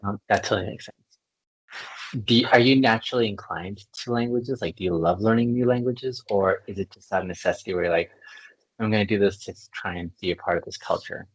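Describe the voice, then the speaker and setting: A podcast host from Canada talks in an articulate, reflective way and laughs easily. His voice is fairly low with a husky edge, and the recording sounds close and dry. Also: brisk, no echo, low-quality recording. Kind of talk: conversational interview.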